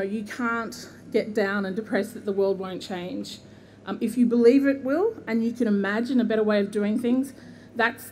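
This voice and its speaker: A middle-aged Australian woman from Queensland, a constitutional lawyer, giving an earnest, measured commencement speech at a podium microphone outdoors. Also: mid-range voice, slight background noise, low-quality recording. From southeast Queensland, Australia. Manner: earnest, measured, with frequent ums and gestures. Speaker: guest speaker, constitutional lawyer. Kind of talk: commencement address. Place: outdoor university courtyard.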